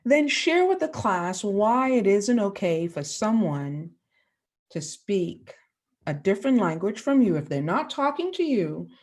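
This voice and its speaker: Slow-spoken Bahamian-born woman teacher. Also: mid-range voice, sing-song, a little mellow. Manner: slow, questioning, pauses for class answers. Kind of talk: classroom lesson to children.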